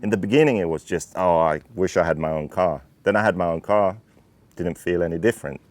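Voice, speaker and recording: A man in his thirties from Finland, a racing team owner calmly explaining his car in technical detail. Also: sits low, brisk. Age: 30s. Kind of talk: interview talk about his car.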